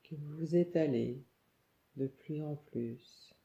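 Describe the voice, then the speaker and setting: A woman leads a guided relaxation meditation, calm and soothing, giving gentle instructions in short phrases with pauses. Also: low voice. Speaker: yoga nidra meditation guide. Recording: muffled, echoey room sound.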